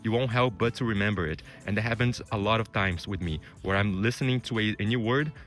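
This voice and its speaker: A man's voice: fairly low pitch, a bit bright, soft background music. A man from Brazil whose first language is Portuguese, giving casual advice to camera in an informal way with frequent fillers. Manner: quick, informal, frequent "you know" fillers. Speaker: vlogger giving career tips.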